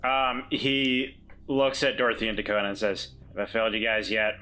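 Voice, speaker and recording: A man's voice: on the low side, level tone, moderate pace, sharp tone, poor audio. A man playing a tabletop game, talking earnestly in character and stumbling over restarts. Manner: earnest, self-blaming, stammering restarts. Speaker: player.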